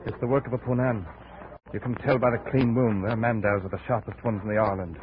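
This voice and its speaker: Man doing tough tropics guide, gruff and domineering, old radio drama style, tinny scratchy recording. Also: lowish voice, fairly even intonation, fairly quick, lightly acted. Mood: grim, knowing. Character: seasoned, hard jungle guide, ten years in Borneo. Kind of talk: 1950s radio drama acting. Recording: scratchy, narrow-band 1950s radio recording.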